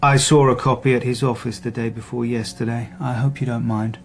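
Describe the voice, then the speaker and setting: An actor playing a calm, dry senior detective in a radio drama, husky and understated. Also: male voice, mid-range voice, fairly quick, faint music behind, natural voice. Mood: calm, gently probing. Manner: understated, gently probing. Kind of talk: naturalistic radio drama acting.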